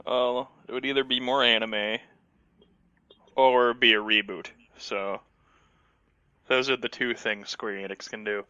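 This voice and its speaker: A man's voice: mid-range voice, fairly even intonation, measured pace, long pauses, sharp tone, clean tone, no room sound, muffled recording. A man giving casual gaming commentary in a sardonic, grumbling, profane way. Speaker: Let's Play commentator.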